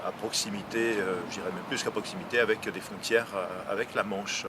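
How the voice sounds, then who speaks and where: An older man with a fairly low voice gives unscripted interview answers. He is earnest and hesitant, repeating words as he searches for phrasing. Clear traffic and outdoor noise runs behind him. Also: fairly even intonation, brisk. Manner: earnest, hesitant, with frequent word repetitions. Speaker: local elected representative being interviewed. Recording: noisy outdoor recording with traffic ambience.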